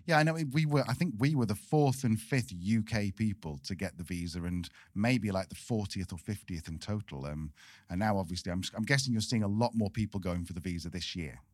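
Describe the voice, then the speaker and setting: A man's voice: lowish voice, fairly quick, no room sound, clean studio sound. Chatty man hosting a podcast. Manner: chatty, self-deprecating, joking. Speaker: podcast host. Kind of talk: casual podcast chat.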